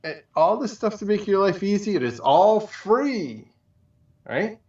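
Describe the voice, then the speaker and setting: A man with a fairly high voice, heard a little away from the mic in a very echoey space. The sound is slightly dull. He chats casually as a co-host, wandering from one example to the next. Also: sing-song, a little slow, darkish tone. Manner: rambling, colloquial, piles up examples. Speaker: show co-host. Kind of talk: casual chat. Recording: muffled, echoey, distant from mic.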